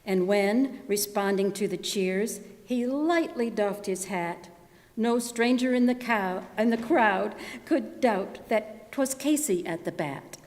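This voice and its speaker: Female verse narrator. Mid-range voice, unhurried, in a reverberant hall. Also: mid-range voice, a little mellow. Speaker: readers theater performer. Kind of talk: narrative poetry recitation.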